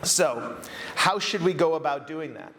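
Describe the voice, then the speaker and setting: A man with an American accent giving an earnest, measured keynote talk at a conference, repeating phrases for emphasis. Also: fairly high pitch, animated, pushed and bright, a little hiss or hum. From United States. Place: conference hall.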